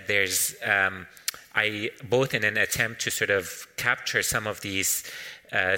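A male author whose first language is Arabic speaks in a low, very bright voice. He answers questions at a book talk with care, often repeating words as he searches for a phrase. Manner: thoughtful, with frequent restarts and repeated words. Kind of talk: panel discussion answering questions.

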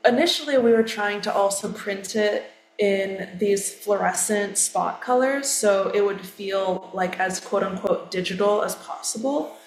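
A woman's voice: medium pitch, a little slow, slight background noise. A woman presenting her creative project, explaining it in a thoughtful way. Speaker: artist presenting her work. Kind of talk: artist talk. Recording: echoey room.

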